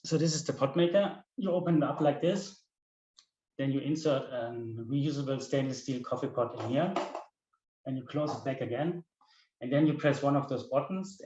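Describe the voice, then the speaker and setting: German guy pitching his gadget, earnest, echoey room and kinda muffled lo-fi sound. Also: darkish tone. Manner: earnest, demonstrating a device. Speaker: inventor pitching a product. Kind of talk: rehearsed product pitch. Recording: roomy, dull lo-fi sound.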